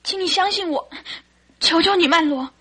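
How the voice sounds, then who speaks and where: An actress playing a secretive, imploring young wife in a radio drama, speaking earnestly. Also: female voice, very high voice, normal speed, bright, forceful tone. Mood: pleading. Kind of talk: Mandarin radio drama acting.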